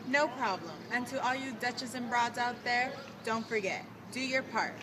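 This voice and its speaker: A woman's voice: a little high, moderate pace, a crowd in the background, natural voice. A woman playing a dutiful 1940s wartime mother and mechanic, reciting earnestly in a mock radio interview. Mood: earnest, proud. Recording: distant mic with clear outdoor noise.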